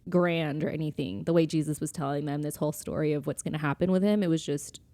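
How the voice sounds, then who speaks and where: A woman's voice: sits low, fairly even intonation, fast, dark tone. A woman podcast co-host talking casually about faith, informal and a little tentative, often saying "like" and "you know". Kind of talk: casual conversational discussion of faith.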